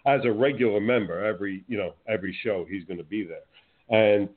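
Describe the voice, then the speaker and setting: A man from New Jersey with a New Jersey accent, hosting a wrestling podcast in a brash, outspoken way. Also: mid-range voice. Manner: brash, outspoken, animated storytelling. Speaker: podcast host. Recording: phone-like narrow audio.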